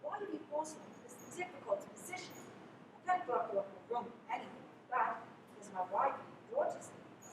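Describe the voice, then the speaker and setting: A young woman acting a suave, menacing professor and secret spy in a radio play, polite and coolly threatening. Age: teenager. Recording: echoey, distant livestream with loud rumbling noise.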